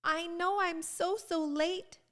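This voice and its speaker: A woman's voice: extremely high pitch, steady tone, slow pace, fairly bright tone, voice pushed up, full character voice. A woman voicing a sweet, anxious storybook girl with a timid, tearful, tiny voice. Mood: tearful, apologetic. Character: Ruby Valentine, sweet anxious girlish storybook heroine.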